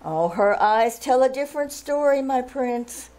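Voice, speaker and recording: A gracious, tender-hearted princess played by a woman in an old-time radio play. Her voice is high and she takes her words slowly. She sounds refined and theatrical, lingering on her exclamations. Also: lively intonation, darkish tone. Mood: earnest, concerned. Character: gracious, kindly young princess, gushing and compassionate. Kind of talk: old-time radio drama acting, live stage performance.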